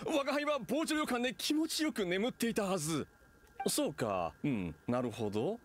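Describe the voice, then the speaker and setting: An actor playing a pompous, clueless swindler's ghost in an anime game, theatrical and full of himself. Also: male voice, sits high, big pitch swings, moderate pace, a little hiss or hum. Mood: panicked. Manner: pompous, theatrical, self-satisfied. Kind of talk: Japanese anime game voice acting.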